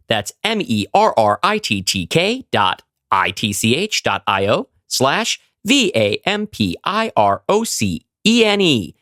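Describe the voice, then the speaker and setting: A man's voice: medium pitch, fairly bright tone, no echo, clean studio sound. A man delivering a scripted, personal sponsor read for a podcast.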